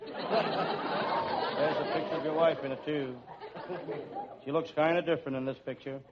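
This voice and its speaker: An actor playing a wisecracking hard-boiled private detective in an old-time radio comedy, with dry, deadpan delivery. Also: male voice, a little high, measured pace, crowd noise, natural voice. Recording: muffled, noisy old radio recording.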